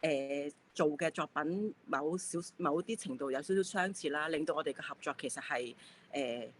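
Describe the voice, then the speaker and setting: A woman artist from Hong Kong presenting her work in an online talk, soft and a little hesitant. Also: sits low, normal speed. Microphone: video call.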